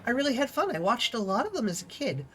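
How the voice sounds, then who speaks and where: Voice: mid-range voice, big pitch swings, quick pace, fairly bright tone. A streamer with a stuffy, sniffly nose, chatting casually and rambling while playing a game. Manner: chatty, rambling, trailing off mid-sentence. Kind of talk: casual gaming chat.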